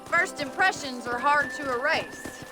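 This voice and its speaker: An actress playing a spirited, sharp-tongued young rancher woman of the Old West, with a wry, teasing delivery for a video game. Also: high-pitched, over music, low-quality recording. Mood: brisk, lively. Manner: wry, teasing, brisk, plain-spoken. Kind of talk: video game cutscene acting.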